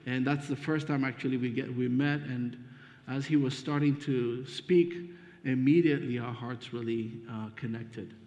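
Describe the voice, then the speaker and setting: Ethiopian-born preacher in an echoing church. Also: male voice, mid-range voice, steady tone, normal speed, darkish tone. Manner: friendly, hesitant delivery with fillers. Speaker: guest preacher. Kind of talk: sermon introduction. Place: church sanctuary. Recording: dull muffled sound with long reverb.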